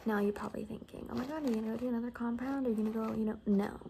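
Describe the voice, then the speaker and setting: Woman, soft-spoken ASMR, mellow tone, frequent whispering, casual sarcastic gossip, faint background noise. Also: on the high side, fairly even intonation, rapid-fire. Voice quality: soft, often dropping to a whisper. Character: sassy gossipy female apocalypse survivor giving a haircut. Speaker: ASMR roleplay performer.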